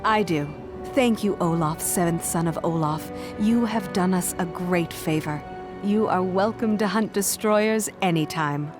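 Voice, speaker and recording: An actress playing a confident, courteous adventurer in a fantasy video game, composed and assured. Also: female voice, lowish voice, a little slow, close to an everyday voice. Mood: grateful. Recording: game cinematic with music behind.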